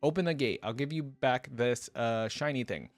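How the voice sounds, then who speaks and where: A man's voice: medium pitch, moderate pace, no echo, lightly acted. A man voicing a vain, playful, easily distracted birdlike battle dancer in a flippant first-person story reading.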